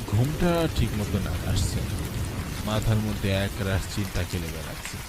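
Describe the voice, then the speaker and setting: A man's voice: lowish voice, very noisy, lightly acted. A man playing an anxious young grandson in a cartoon ghost story, with a nervous, hesitant manner. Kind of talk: first-person horror story narration and dialogue. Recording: loud rain and thunder over voice.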